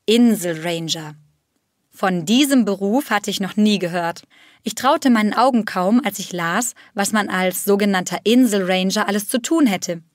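A woman reading a first-person story aloud in steady, clear narration for language learners. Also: mid-range voice, dry recording, studio quality.

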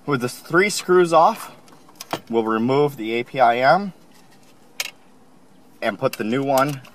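A man calmly explaining, step by step, how to install a car part, recorded inside a car. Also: mid-range voice, takes it slowly, a little hiss or hum. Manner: calm, plain instructional. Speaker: installation instructor.

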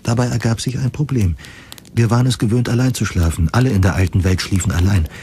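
A man's voice: lowish voice, fast, mellow, a little hiss or hum. A man narrating a scripted first-person science fiction story for an audio drama.

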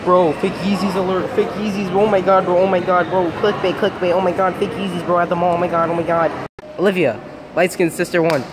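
A man's voice: high voice, level tone, brisk. A young man chatting casually and in boyish slang for a vlog, filming himself on a handheld phone in busy public places. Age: young adult. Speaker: vlogger. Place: busy public places, bowling alley and mall. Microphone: handheld phone at arm's length. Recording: loud music and crowd noise.

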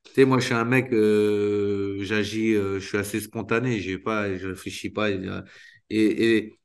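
A man in his thirties, a former footballer, talking candidly in an interview over a video call, restarting sentences and filling pauses often. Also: fairly low pitch, fairly even intonation, smooth. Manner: spontaneous, halting, many restarts and fillers. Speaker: retired footballer guest. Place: small room indoors. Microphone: video call webcam.

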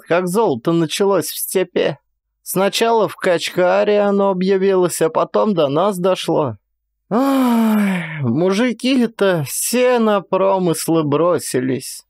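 A man's voice: sits high, darkish tone, no echo, clean studio sound, exaggerated voice. A man voicing a garrulous, grumbling old Cossack village woman in a one-man audio play, with folksy, plaintive rustic speech. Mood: lamenting.

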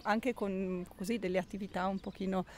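A middle-aged woman, answering informally as a garden volunteer and pausing to find her words. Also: medium pitch, steady tone. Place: outdoors in an allotment garden. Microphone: handheld mic held out by interviewer. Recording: outdoor ambient noise.

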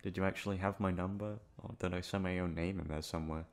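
Man acting a low, creaky, bashful young playboy. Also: fairly even intonation, faint music behind. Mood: embarrassed. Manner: halting, stammering, bashful. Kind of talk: romantic ASMR roleplay acting.

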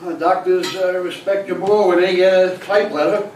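A man's voice: sits high, steady tone, normal speed, a little mellow, lightly acted. An elderly actor playing a teasing, wisecracking grandfather in a live comic stage play. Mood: wry. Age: 70s. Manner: wisecracking storyteller. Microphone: distant camera. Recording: echoey small theater, distant camera, hiss.

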